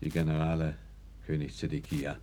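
Very deep, sombre royal attendant. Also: male voice, flat delivery, moderate pace, warm tone. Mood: urgent, formal. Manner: formal, sombre. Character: grave male royal attendant, dutiful and questioning. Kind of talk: German radio play acting. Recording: crackly early 1960s vinyl record.

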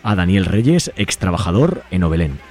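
A man's voice: sits low, sing-song, normal speed. A man with an accent from Spain, hosting a radio interview in an informal, conversational way with frequent checking tags. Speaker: radio host.

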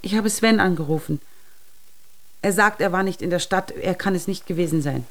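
A woman's voice: lowish voice, normal speed, close to an everyday voice. An actress playing a chatty, meddling older mother in a naturalistic radio drama, fussy and coaxing. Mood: puzzled. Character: chatty meddling older mother and wife, well-meaning, insistent.

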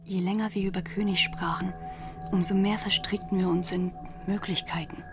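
A woman playing a haunted narrator, hushed and deliberate, often half-whispering, in a literary radio drama. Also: lowish voice, level tone, music in the background, lightly acted. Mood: brooding.

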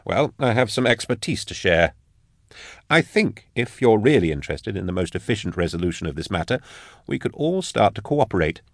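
A man's voice: on the low side, dry recording, clean studio sound, voice pushed up. A man voicing an eccentric male tech-priest adviser, pushy and teasing.

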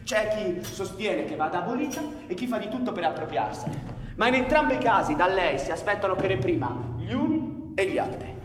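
A man playing a sardonic, world-weary anarchist intellectual in radio theatre, arguing in an articulate, ironic and deliberate way. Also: high-pitched, big pitch swings. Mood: reflective, challenging. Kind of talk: Italian radio theatre acting. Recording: echoey hall, long reverb, background noise.

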